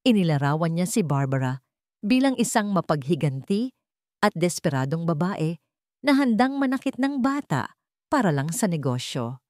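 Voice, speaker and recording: Woman, story narrator, slightly low pitch, scripted drama, measured suspenseful phrasing, dry studio-like sound. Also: darkish tone, dry recording.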